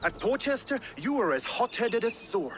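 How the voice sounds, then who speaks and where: A vengeful Viking warlord. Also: male voice, very high-pitched, sing-song, normal speed, fairly bright tone, a little hiss or hum, full character voice. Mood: approving. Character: vengeful Danish warlord, proud and fierce. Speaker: Viking chieftain character. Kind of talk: video game voice acting. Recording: game audio with music behind.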